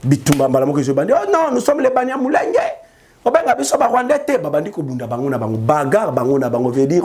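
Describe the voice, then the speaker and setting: A man's voice: a little high, a little mellow. A man gives live news commentary in a mocking way and laughs at his own remarks.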